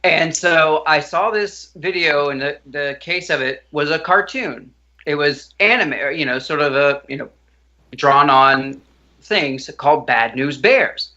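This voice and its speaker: A man co-hosting a casual online pop culture chat show, telling a childhood story in an animated way. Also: measured pace, muffled recording. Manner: animated storyteller, jumps into squeaky voices for himself. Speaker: podcast co-host.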